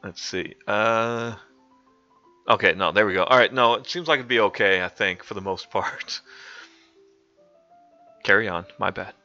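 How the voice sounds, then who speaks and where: A man's voice: lowish voice, a little slow, pauses often, pushed and bright. A young man streaming a mock-dramatic reading of a comic fanfic, often cracking up with laughter. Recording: dull lo-fi stream audio with faint music.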